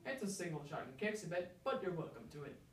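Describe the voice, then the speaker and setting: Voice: medium pitch, very animated, fast talker, far from the mic, close to an everyday voice. A performer as a wry, plainspoken country man, echoey. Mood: relenting. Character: Pinto Jack, gruff, wry rancher-raiding pipe smoker, man.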